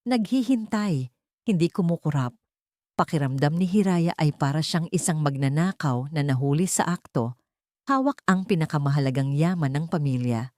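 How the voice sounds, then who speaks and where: A woman's voice: low-pitched, darkish tone, no echo, studio quality. A woman narrating a scripted dramatic story as an audiobook storyteller.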